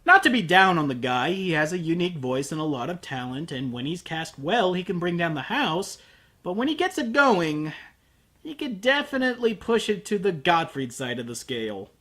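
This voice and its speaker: Male reviewer, lively swooping delivery, sarcastic and profane rants. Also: high voice, moderate pace. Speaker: anime reviewer.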